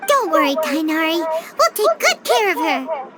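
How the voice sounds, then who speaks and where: A woman voicing a tiny, excitable female fairy companion in a video game, with a squeaky high voice, who talks about herself in the third person. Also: normal speed, very noisy. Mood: earnest. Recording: loud game soundtrack music behind.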